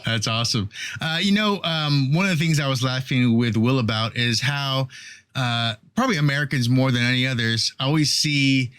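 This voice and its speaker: A man's voice: mid-range voice, normal speed, fairly bright tone, clear, resonant tone. A male interviewer with an ordinary mid-range voice. He asks long, meandering questions, with frequent restarts and "you know"s. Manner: rambling questions with fillers and restarts. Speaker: interviewer host.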